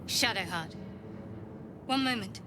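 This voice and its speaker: An actress playing a wary young woman in a video game, speaking with measured, dry composure. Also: medium pitch, animated. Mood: guarded. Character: guarded, wary young woman freed from captivity. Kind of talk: video game character acting. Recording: music behind the voice.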